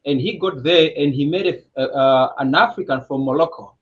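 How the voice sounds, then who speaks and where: Man, online panel host, animated storyteller, higher pitch, dull lo-fi audio. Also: normal speed, slight background noise.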